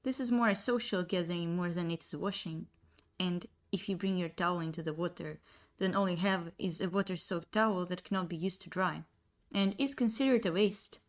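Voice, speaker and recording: A woman's voice: on the low side, fairly quick, natural voice. Woman voicing a polite cat-girl bathhouse attendant. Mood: polite, explaining. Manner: courteous, formal phrasing. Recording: music behind the voice.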